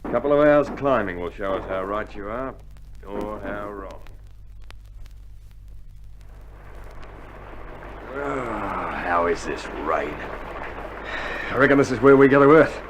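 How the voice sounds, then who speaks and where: An actor playing a seasoned, gruff older Australian infantryman in 1960s radio drama style, trading slangy, wry banter. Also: animated, normal speed, lightly acted. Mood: wry, weary. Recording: noisy old vinyl radio drama, battle rumble.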